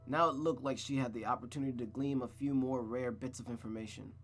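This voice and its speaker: A man's voice: mid-range voice, fairly even intonation, fast talker, soft background music. A man streaming a visual novel, narrating it aloud like a storybook and adding casual asides.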